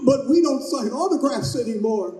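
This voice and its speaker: A man's voice: extremely high pitch, animated, moderate pace, mellow, a little hiss or hum. A man playing a cheery Scottish starship engineer in an old-time radio play. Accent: put-on Scottish. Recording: echoey live stage recording.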